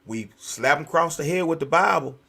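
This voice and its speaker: Higher-voiced American man, emphatic talk show host. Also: expressive, a little fast, vocal fry, sharp tone, breathy edge. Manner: emphatic, repetitive. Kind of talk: animated conversational preaching and chat. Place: virtual video show.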